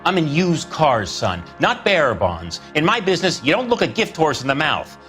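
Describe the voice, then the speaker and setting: A man's voice: on the high side, big pitch swings, normal speed, pushed and bright, faint music behind. An actor playing a slick, folksy used-car salesman in a video game, full of jokey patter and calling people son. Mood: defensive, brash. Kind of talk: video game character acting.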